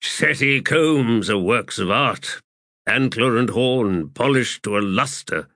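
A man voicing a strict, severe army officer with a rough voice, speaking slowly and dryly. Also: mid-range voice, expressive, pushed and bright, no room sound, voice pushed up. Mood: reflective. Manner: slow, dry and severe. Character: stern strict older male army officer.

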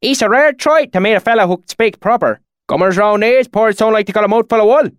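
Man acting high-pitched rustic swineherd, thick country dialect. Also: fairly quick, clean tone, dry recording, studio quality, close to an everyday voice. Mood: friendly. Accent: thick rural dialect. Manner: hollering, folksy, drawling speech. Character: lean, weathered, suspicious old rustic swineherd, later friendly.

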